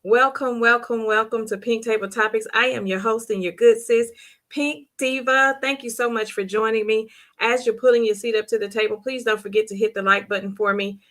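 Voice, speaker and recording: A middle-aged woman with a hoarse voice, hosting a live stream and warmly greeting viewers by name in the chat. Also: fairly high pitch, fairly even intonation, brisk. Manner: bubbly, repetitive greetings. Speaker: livestream host.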